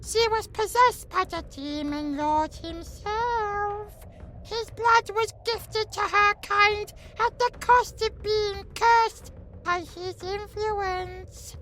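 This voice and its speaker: A woman's voice: extremely high pitch, takes it slowly, rough recording. A woman playing a tiny, cheeky, boastful pixie lord in a fantasy audio drama, with haughty theatrical delivery. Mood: grave storytelling. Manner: haughty, theatrical, speaks of self grandly.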